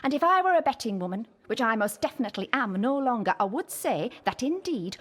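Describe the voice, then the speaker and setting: A woman's voice: on the high side, big pitch swings, brisk. An actress playing a pompous, pedantic forensics woman in an audio drama, speaking in a precise, formal way. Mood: pedantic, superior. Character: pompous, pedantic, self-important female police forensics colleague.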